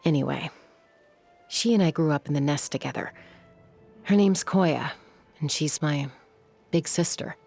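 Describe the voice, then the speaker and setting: Game role: cool, easygoing wild-raised young woman, husky with vocal fry. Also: sits low, unhurried, pauses often, a little mellow, faint music behind, full character voice. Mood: wistful. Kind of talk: scripted video game dub acting.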